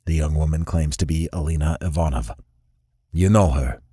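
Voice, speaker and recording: A man reading an audiobook, voicing a stern, feared male boss in a curt, gruff voice. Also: a bass voice, moderate pace, warm tone, no echo, clean studio sound, voice pushed down. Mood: probing.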